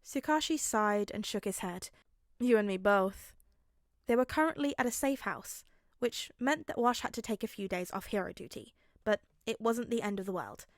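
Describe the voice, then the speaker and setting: Higher-voiced woman narrating fiction. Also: moderate pace, dry recording, studio quality. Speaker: podfic narrator.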